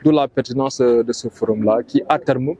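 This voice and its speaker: A Senegalese man giving a formal press statement in a measured, hesitant way. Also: medium pitch, mellow, breathy edge.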